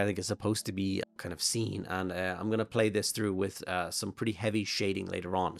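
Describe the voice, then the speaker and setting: Hoarse Irish man, gravelly and low. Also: no echo. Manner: rambling, thinks aloud with many fillers. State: hoarse from a flu and throat infection. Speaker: miniature painting channel host. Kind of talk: casual painting tutorial voice-over.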